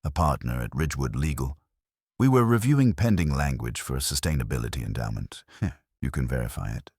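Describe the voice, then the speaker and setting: A man's voice: rumbling low, expressive, brisk, a little mellow, breathy edge, no room sound, clean studio sound, heavily acted. A man voicing a cool, guarded professional woman in a detective audiobook, with clipped, composed, precise speech. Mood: composed, businesslike. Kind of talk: detective audiobook character dialogue.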